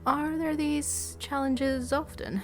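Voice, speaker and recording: A woman playing a gentle young priestess, apprehensive and hesitant, full of wonder. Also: high voice, steady tone, slow pace, soft background music. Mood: curious.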